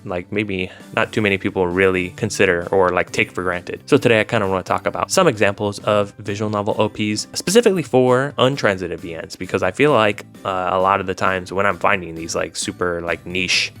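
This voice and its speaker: A man's voice: low-pitched, a little fast, few pauses, fairly bright tone, faint music behind. A man chatting casually as a video host, rambling along in an informal, filler-heavy way. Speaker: YouTube host discussing visual novels.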